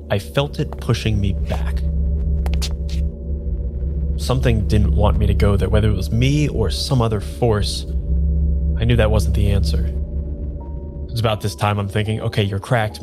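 Low-voiced bewildered amnesiac noir narrator, acted by a man. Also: music in the background, close to an everyday voice. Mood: brooding, lost. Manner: measured, dramatic pauses when narrating, hesitant in dialogue. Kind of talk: improvised noir radio drama narration.

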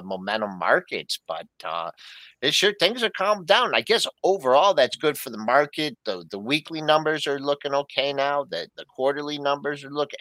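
A man hosting a pre-market trading show, briskly running through price quotes and chatting with his co-hosts. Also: mid-range voice, bright, forceful tone, no echo. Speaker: show host. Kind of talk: market news rundown and co-host chat.

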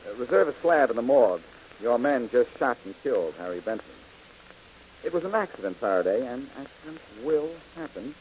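An actor playing a wisecracking tough detective, dry and sardonic, in 1940s radio acting. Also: male voice, medium pitch, very animated, a little fast, darkish tone, close to an everyday voice. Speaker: detective hero. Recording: dull old radio recording with hiss.

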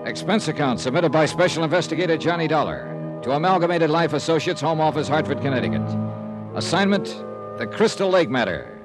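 An actor playing a wry, dogged insurance investigator in a 1950s radio drama, narrating and wisecracking in a dry manner. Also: male voice, on the high side, lively intonation, brisk, over music, close to an everyday voice. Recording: dry old radio recording.

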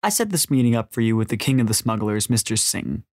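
A man's voice: lowish voice, big pitch swings, fast, a little mellow, no room sound, studio quality. Man doing a brash Australian smuggler. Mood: annoyed.

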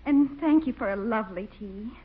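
A woman doing a sweet polite young organist, soft, high voice, 1940s radio comedy, tinny thin old recording. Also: animated, moderate pace, a little hiss or hum, lightly acted. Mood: earnest. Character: gentle prim young woman church organist.

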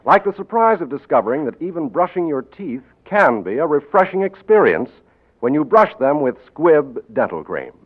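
A male radio announcer reading a commercial in a smooth, upbeat selling manner. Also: a little high, big pitch swings, moderate pace. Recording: tinny old radio broadcast.

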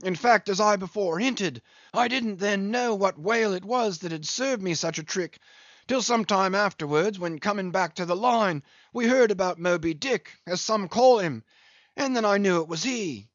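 A man's voice: high voice, normal speed, no echo, lo-fi sound, pitched above the performer's own voice, close to an everyday voice. A man voicing a boisterous, jolly one-armed English whaling captain in an audiobook, hearty and teasing with many exclamations. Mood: hearty, excited.

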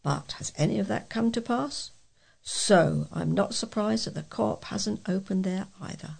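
A British woman reads letters aloud for a local news recording. Her voice is very creaky and somewhat husky, set at an average pitch for a woman. She keeps a measured pace of reading, with an occasional stumble over a word. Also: darkish tone. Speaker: volunteer newsreader for a local talking newspaper.

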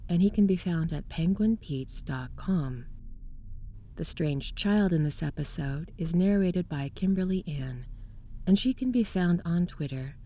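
A woman presents a scripted introduction in a measured, courteous manner. Her voice sits low, with a soft, mellow tone. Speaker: presenter and voice actress.

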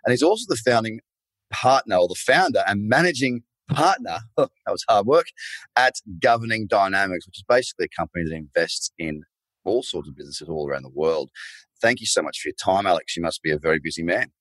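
A man's voice: fairly low pitch, animated, fairly quick, fairly bright tone, no room sound, low-quality recording. A man hosting an interview show, talking in a friendly, loose way. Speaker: podcast host. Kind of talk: casual interview chat.

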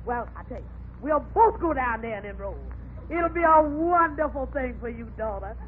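A woman playing a bossy, sharp-tongued older mother-in-law in old radio comedy, with emphatic delivery. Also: high voice, animated, moderate pace. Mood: commanding. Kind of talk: 1940s radio comedy acting. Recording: noisy, tinny old radio recording.

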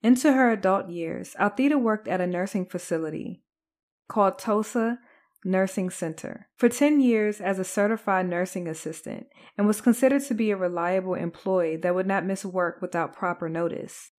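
A woman's voice: mid-range voice, moderate pace, darkish tone. Woman narrating a true crime podcast. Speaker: podcast host.

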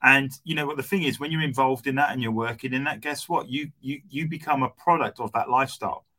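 A man's voice: mid-range voice, fast talker, pushed and bright. A man from the United Kingdom, a guest agency founder, answering interview questions conversationally and restarting sentences.

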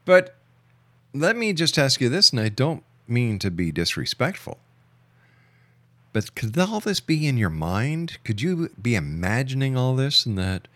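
A man's voice: medium pitch, fairly bright tone, no room sound. A man hosting a radio talk show, questioning his guest in a direct, skeptical way. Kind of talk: probing radio interview.

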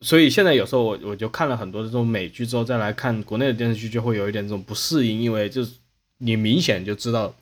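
A man's voice: on the low side, racing. A man talking casually as a podcast host, explaining at length and often rephrasing as he goes. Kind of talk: casual discussion.

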